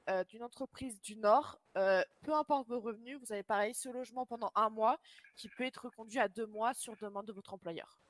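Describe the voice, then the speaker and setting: A woman with a slightly high voice lays out a housing scheme as a town official in a casual roleplay. She sounds breezy and explanatory, in a dry and close recording. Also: a bit bright, no echo. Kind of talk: casual roleplay press briefing.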